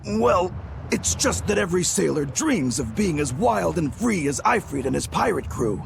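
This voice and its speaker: Gravelly, cowardly demon sailor. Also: male voice, sits high, big pitch swings, moderate pace, noisy background, exaggerated voice. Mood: wistful. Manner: dramatic, nervously boastful. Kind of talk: English video game voice acting.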